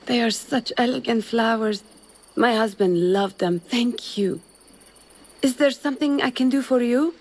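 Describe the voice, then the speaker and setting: A woman's voice: a little high, measured pace, a little mellow, poor audio. An actress playing a sorrowful, courteous widowed mother in a cinematic video game. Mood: gracious, sad.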